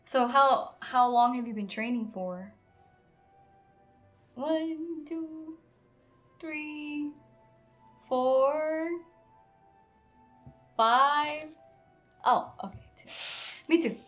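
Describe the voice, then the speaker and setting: A woman's voice: high voice, drawn-out words, long pauses, soft background music. A woman talking casually as a player in a tabletop roleplaying game.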